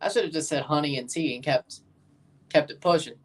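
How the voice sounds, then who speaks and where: A woman's voice: sits low, brisk. A woman hosting a livestream watchalong, giving casual live football commentary and chatting with viewers, often repeating and correcting herself.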